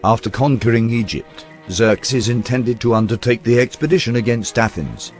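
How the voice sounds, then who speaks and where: A man reading a historical account aloud in a measured, storytelling documentary narration. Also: mid-range voice, expressive, faint music behind. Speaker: reader of historical text.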